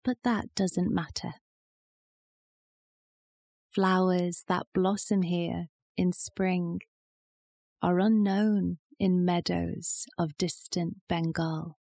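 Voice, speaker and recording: A woman reciting poetry in a measured, deliberate style. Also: on the low side, steady tone, vocal fry, darkish tone, no room sound. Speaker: poetry reader.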